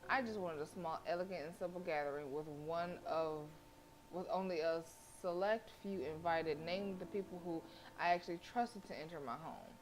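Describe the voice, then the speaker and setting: A woman's voice: fairly low pitch, moderate pace, a little hiss or hum, low-quality recording. A woman live streamer reading a visual novel aloud expressively, breaking in with slangy, casual interjections. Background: game music.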